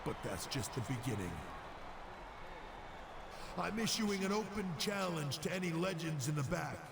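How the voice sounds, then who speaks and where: A cocky game wrestler. His voice is fairly high with heavy gravel, and he talks in a measured, challenging way over clear noise. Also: expressive. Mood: confident challenge. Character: cocky, defiant male pro wrestler. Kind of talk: scripted video game cutscene acting.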